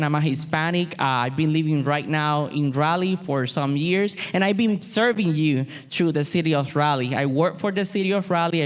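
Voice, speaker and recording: Man with a Puerto Rican accent, campaigning. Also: fairly high pitch. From Puerto Rico. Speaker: political candidate. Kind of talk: campaign speech.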